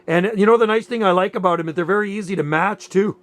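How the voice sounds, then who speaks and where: A man's voice: high-pitched, fast talker, heavy background noise. A man in his late fifties chatting casually as a radio operator, with folksy, easygoing phrasing. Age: late 50s. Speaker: amateur radio operator and channel host. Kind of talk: casual two-way radio chat.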